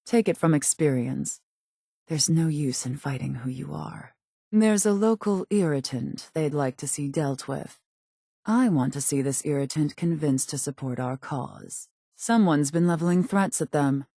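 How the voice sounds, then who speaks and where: An actress voicing a cold, calculating raider gang leader in a video game, composed and formal, with measured, quietly menacing delivery. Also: female voice, sits low, expressive, no room sound, clean studio sound, exaggerated voice. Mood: cool, calculated. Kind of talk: video game character voice acting.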